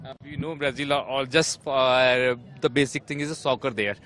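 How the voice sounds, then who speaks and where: A man's voice: medium pitch, steady tone, normal speed, a bit bright, rough recording. A man reporting, asking tourists short questions with many fillers. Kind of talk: street interview.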